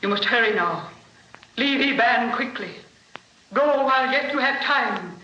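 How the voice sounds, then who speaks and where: An actress playing a solemn, doomed ancient sorceress in a 1940s radio drama, speaking in an archaic, declamatory way. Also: female voice, a little high, animated, a little slow, pushed and bright. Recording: lo-fi echoey old radio broadcast.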